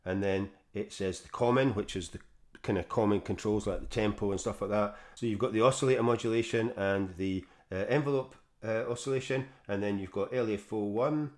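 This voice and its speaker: A man with a croaky voice from a throat infection, hosting a casual synth tutorial at his keyboard in a home studio, talking haltingly with lots of fillers and restarts. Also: lowish voice. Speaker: synth demo host.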